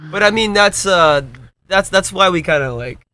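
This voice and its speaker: A man's voice: high voice, lively intonation, normal speed, bright, forceful tone. A man talking loosely on a podcast, swearing often and rambling with restarts. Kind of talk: casual chat.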